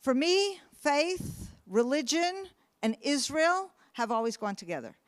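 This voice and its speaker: A woman's voice: sits high, very animated, a little slow, dry recording, clean studio sound. A middle-aged woman whose first language is English, telling her personal story in an earnest, emphatic way and lifting her voice on questions. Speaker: speaker. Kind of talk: personal testimony talk.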